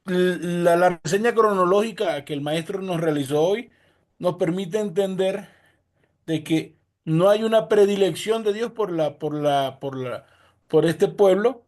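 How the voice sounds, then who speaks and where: A man's voice: high-pitched, a little mellow. A man from Venezuela, a pastor, asking a question over a video call in a formal, earnest way. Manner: formal, earnest, some repeated words. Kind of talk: question from a participant in an online class.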